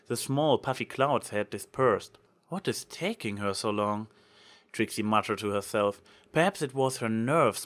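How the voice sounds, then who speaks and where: A man narrates a piece of fantasy fiction. His voice sits at an average male pitch. He reads in a dramatic audiobook style, as a storyteller. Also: fairly bright tone.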